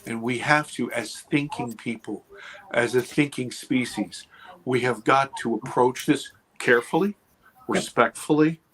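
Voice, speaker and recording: A man's voice: mid-range voice, a little slow, fairly bright tone, poor audio. A man in his fifties, a guest on a podcast, talking casually and with energy, swearing freely and checking in with "right?" and "okay". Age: 50s.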